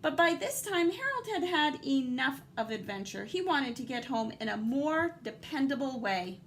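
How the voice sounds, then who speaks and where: Female teacher storyteller, high voice, expressive and dramatic picture-book delivery for young kids, slight background noise. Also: moderate pace. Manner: animated, dramatic emphasis, guiding and questioning. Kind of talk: expressive children's read-aloud with asides to young listeners.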